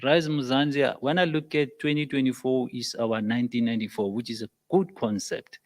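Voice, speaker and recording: A South African man, not a native English speaker, giving an impassioned comment at a public meeting, halting at times and rising to loud emphatic peaks. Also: medium pitch, steady tone. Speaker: audience member.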